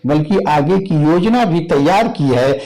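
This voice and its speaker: Animated, higher-voiced male radio correspondent. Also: a little slow, rough recording. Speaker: news correspondent. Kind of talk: scripted radio field report.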